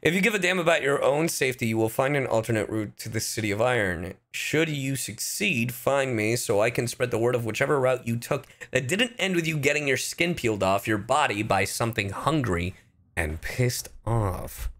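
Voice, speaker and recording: A man reading game lore aloud as a gruff, wry male traveler giving a warning, deliberate and theatrical. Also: mid-range voice, animated, fairly bright tone.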